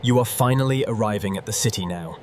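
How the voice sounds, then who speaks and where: A man narrating a scripted second-person story in a deadpan manner. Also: on the low side, quick pace, background noise.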